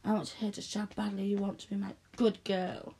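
A woman speaking softly and coaxingly in an intimate audio roleplay, close to the mic, often dropping to a whisper. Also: mid-range voice, level tone. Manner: soft, coaxing, slow and breathy with frequent whispers.

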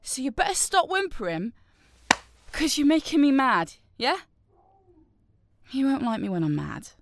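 A young woman playing a domineering, cruel bully in an audio roleplay, taunting and crude, swinging between shouts and close whispers. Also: high-pitched, big pitch swings, measured pace, dry recording, heavily acted. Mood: furious.

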